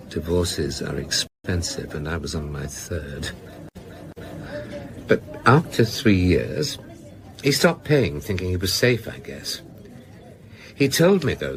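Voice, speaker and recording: Deep-voiced rueful male novelist. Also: full character voice, rough recording. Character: rueful, sardonic male novelist confessing to blackmail. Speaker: actor. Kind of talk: radio drama acting.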